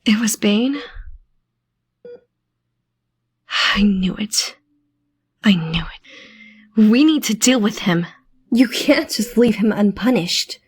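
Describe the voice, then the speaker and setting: A woman playing a loyal, sharp-witted teenage girl in an audio drama, speaking in an earnest, pressing way. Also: mid-range voice, animated, takes it slowly, pauses often, slightly rough. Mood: insistent. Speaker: voice actor.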